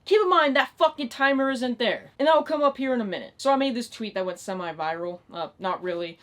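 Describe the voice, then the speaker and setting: Young woman, late teens, higher-pitched voice, exasperated, sweary, emphatic, casual vlog rant. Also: expressive, a little fast, fairly bright tone. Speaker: online video creator. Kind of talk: ranting vlog, casual unscripted talk.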